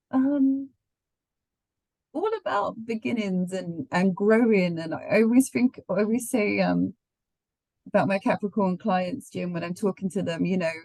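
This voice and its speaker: A woman astrologer with the flu, croaky, chatting casually with many ums. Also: mid-range voice, darkish tone, no room sound, rough recording. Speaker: astrologer co-host.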